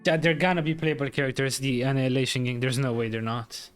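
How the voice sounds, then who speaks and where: A woman streamer giving casual, chatty, excitable live reactions to a game. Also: a bass voice, fast talker, clean tone, soft background music.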